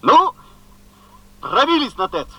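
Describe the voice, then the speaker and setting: Radio drama: earnest worker on a phone line, very bright, high-pitched, halting with filler words. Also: male voice, wildly swooping pitch, no echo, full character voice. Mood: relieved, excited. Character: earnest, flustered railway worker reporting in. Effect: telephone voice. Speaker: actor. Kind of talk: 1970s Soviet radio play acting. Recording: thin, muffled telephone line.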